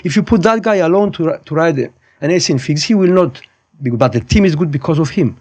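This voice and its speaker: Higher-voiced Cypriot man in his fifties. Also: expressive, fast, a little mellow. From Cyprus. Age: 50s. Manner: opinionated, digressive, repeats and rephrases points. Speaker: podcast guest, high school physics teacher. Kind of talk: casual conversational interview.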